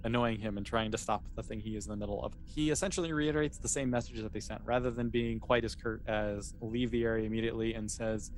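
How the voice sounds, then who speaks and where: A man's voice: fairly low pitch, steady tone, fast, hardly any pauses, a little hiss or hum. A man running a tabletop role-playing game, narrating off the cuff in a conversational, rambling way. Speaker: game master.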